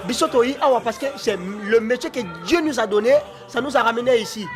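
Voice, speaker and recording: A man's voice: very high voice, a little fast, faint music behind. A musician from Congo living in France, speaking earnestly and insistently in casual talk, often restarting his sentences. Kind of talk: casual chat.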